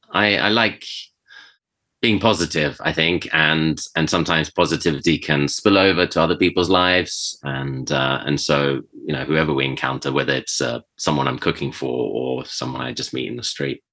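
A middle-aged man with a British accent, speaking as a chef in a relaxed, reflective interview, with frequent fillers and restarts. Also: a bass voice, normal speed. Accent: British English. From Switzerland. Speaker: chef and podcast guest. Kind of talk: conversational interview.

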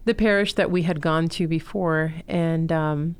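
A woman's voice: deep voice, steady tone, measured pace, clean tone. A woman in her forties, from Chile and raised in Miami, earnestly telling her personal story as an interview guest. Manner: earnest, heartfelt storytelling with fillers. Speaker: guest, school co-founder.

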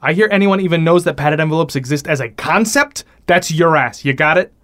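A man's voice: a little high, brisk, fairly bright tone, close to an everyday voice. A man playing a pushy, ambitious newspaper reporter in a radio drama, smug and bossy. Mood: threatening.

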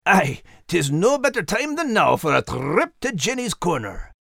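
Man doing a hearty, animated Scottish dog. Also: high-pitched, measured pace, gravelly, no echo, studio quality, pitched above the performer's own voice, heavily acted. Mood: playful exasperation.

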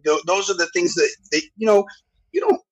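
A man with a loud, deep voice talking earnestly in a casual podcast discussion, often restarting his phrases. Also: fairly quick, low-quality recording. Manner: earnest, restarts phrases, keeps asking "you know what I'm saying". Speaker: podcast guest.

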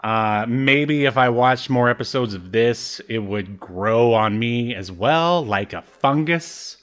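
A man's voice: mid-range voice, slow, a bit bright. A man hosting a podcast, chatting casually in a wry, jokey way. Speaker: podcast host. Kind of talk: casual chat.

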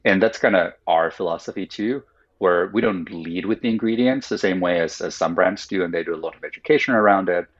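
A man's voice: low voice, a little fast, highs cut off. A man whose first language is Finnish, a guest in a casual interview, explaining his ideas thoughtfully and at length with lots of "like"s. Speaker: guest, company founder.

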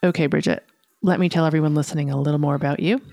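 A woman's voice: low voice, steady tone, racing, dark tone, no echo. A middle-aged woman presenting and interviewing as co-host of a podcast.

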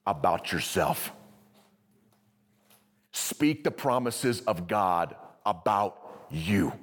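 A man preaching to a live congregation, energetic and conversational, often checking in with the audience. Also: medium pitch. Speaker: pastor. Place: church service with audience.

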